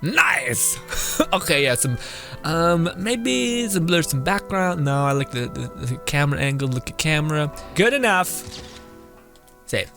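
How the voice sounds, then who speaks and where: A woman's voice: low voice, lively intonation, a little slow, creaky, fairly bright tone, faint music behind. A woman game streamer giving casual live commentary, muttering reactions to herself.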